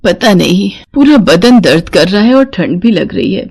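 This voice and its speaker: A woman voicing a poor, devout, gentle mother in a storybook tale, patient and pleading. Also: medium pitch, very animated, moderate pace, vocal fry, breathy edge, exaggerated voice. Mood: weary.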